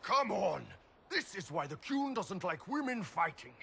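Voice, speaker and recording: An actor playing a burly horned giant warrior in a video game, gruff, blunt and hearty, swearing forcefully. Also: male voice, sits high, sing-song, unhurried, heavy background noise, heavily acted. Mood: straining, fierce. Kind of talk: video game cutscene acting.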